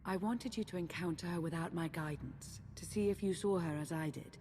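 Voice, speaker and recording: Calm, earnest female ally for a game cutscene woman acting, low register, guarded, faint noise behind. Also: in a large hall, lightly acted. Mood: calm, reflective. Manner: measured, earnest.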